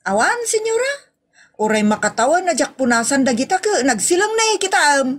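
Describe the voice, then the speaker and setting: A woman's voice: sits high, very animated, brisk. A woman playing a cheeky, lazy housemaid in a comedy audio drama, perky and exclamatory, with an Ilocano Filipino accent. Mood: defensive.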